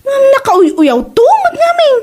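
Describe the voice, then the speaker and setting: Casting: mocking village gossip, played by a woman, very high animated voice, Ilocano accent, unapologetic audio-comedy part. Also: unhurried, exaggerated voice. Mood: mock surprise. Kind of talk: comedy audio drama acting.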